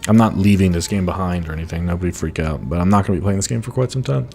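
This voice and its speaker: A man streaming a game speedrun, chatting casually in a low, groggy voice that sounds annoyed even when he is not. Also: racing, vocal fry, soft background music. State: groggy, just woke up. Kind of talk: casual live-stream commentary.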